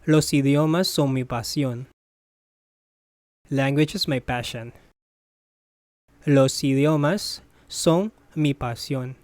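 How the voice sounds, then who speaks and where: A Spanish teacher's voice reading a bilingual phrase drill, saying each phrase, translating it, then repeating it deliberately. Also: medium pitch, darkish tone, dry recording, clean studio sound.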